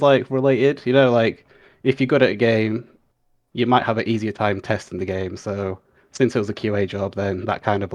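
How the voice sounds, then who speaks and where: A young man who is a guest on a podcast, answering in an easygoing, relaxed way with fillers. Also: fairly low pitch, dull audio. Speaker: guest, game QA analyst. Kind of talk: casual interview chat.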